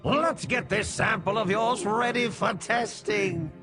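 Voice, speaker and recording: Game oddball: eager undead plague scientist, piercing high voice, theatrical, music behind. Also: male voice, slow pace, bright, forceful tone, heavily acted. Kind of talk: video game cutscene acting.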